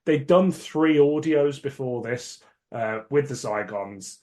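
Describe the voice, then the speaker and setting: Guy hosting a podcast, just chatting, informal, opinionated, bit sweary, normal mid pitch. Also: slow pace, dark tone. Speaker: podcast host. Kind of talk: casual chat about cult sci-fi dramas.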